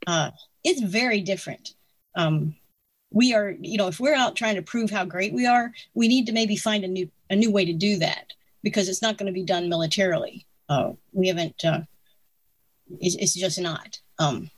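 A woman's voice: mid-range voice, normal speed, dry recording. Opinionated woman in her sixties, dry sound. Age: 60s. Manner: opinionated, animated, laughs between points. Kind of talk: casual political conversation.

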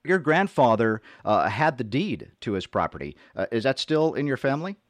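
A man hosting a radio interview program, speaking in a measured way with frequent hesitant fillers. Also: mid-range voice, very animated, dry recording. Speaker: radio host. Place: radio studio.

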